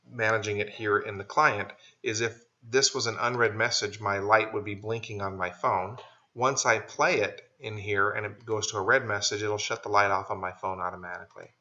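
An American man giving an informal, plain-spoken software tutorial as a voice-over. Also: on the low side. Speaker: software trainer. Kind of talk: casual screen-share tutorial narration. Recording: dull lo-fi voice-over.

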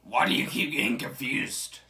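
A woman improvising an excitable, easily confused sidekick in a bantering comedic fan dub of a video game. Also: very deep voice, normal speed, pitched below the performer's own voice. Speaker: amateur fandub voice actor.

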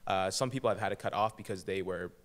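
Low-voiced young man, hesitant student guest. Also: fast. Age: young adult. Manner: hesitant, many fillers and restarts. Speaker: guest, high school senior. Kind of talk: interview answers. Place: small podcast studio. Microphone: boom-arm studio mic.

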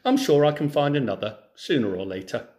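A man's voice: a little high, very animated, brisk, darkish tone. A man voicing a wily, confident farmer in a storybook tale, with emphatic, theatrical delivery. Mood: breezy, sly.

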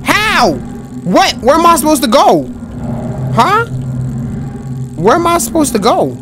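A young man commentating a horror game in a loud, excitable, trash-talking way, his voice going hoarse, recorded on a headset mic at a home setup. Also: very high voice, measured pace, noisy background. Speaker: gaming streamer.

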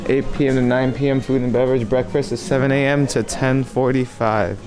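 A young man talks to a handheld camera, upbeat and chatty as he walks through a cruise ship buffet. His voice sits at an everyday middle pitch. Music plays clearly in the background. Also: steady tone, a little fast. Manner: upbeat, chatty, reads signs aloud. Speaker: vlogger. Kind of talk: casual travel vlog narration. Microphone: handheld camera at arm's length. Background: background music playing.

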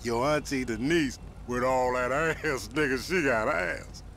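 An actor playing a cocky young American hustler in a video game, slangy, mocking and swaggering. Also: male voice, medium pitch, a little slow, vocal fry, a bit bright, a little hiss or hum. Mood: teasing, cocky. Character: brash, cocky, trash-talking young male street hustler.

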